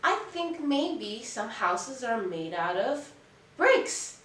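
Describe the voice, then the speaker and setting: A woman telling a children's story in an expressive, deliberate, teacherly way, putting questions to young listeners. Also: a little high. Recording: echoey room, distant mic.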